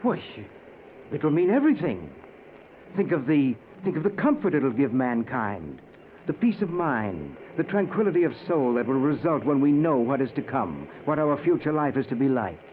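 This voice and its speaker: An actor playing a grandiose, fanatical, coldly murderous uncle in a 1940s radio drama. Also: male voice, medium pitch, very animated, normal speed, lightly acted. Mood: fervent. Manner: grandiose, insistent, theatrical. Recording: tinny, noisy old radio recording.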